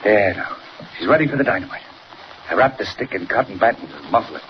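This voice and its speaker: A man's voice: fairly low pitch, expressive, quick pace, natural voice. An actor playing a villain's callous, businesslike male henchman in a 1940s radio serial. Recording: muffled 1940s radio recording, faint noise.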